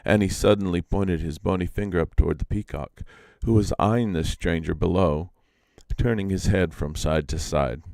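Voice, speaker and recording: A man reading a story aloud live as a storyteller, in a measured, deliberate and expressive way. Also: deep voice, creaky, no echo.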